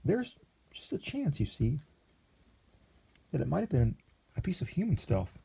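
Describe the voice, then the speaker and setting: A man reading aloud and voicing a sly elderly cook who speaks slowly and insinuatingly. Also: mid-range voice, animated, gravelly, warm tone, husky, voice pushed up, heavily acted. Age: older.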